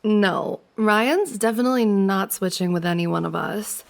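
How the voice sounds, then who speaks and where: A woman's voice: mid-range voice, normal speed, gravelly, natural voice. A woman playing a friend's giggly, teasing older sister in an intimate, conversational ASMR roleplay. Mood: amused banter.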